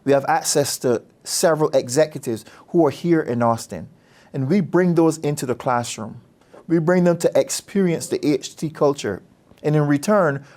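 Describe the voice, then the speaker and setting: Earnest man, fairly high voice. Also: darkish tone. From Barbados. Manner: measured, earnest, persuasive. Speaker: business school dean. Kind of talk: promotional interview talk.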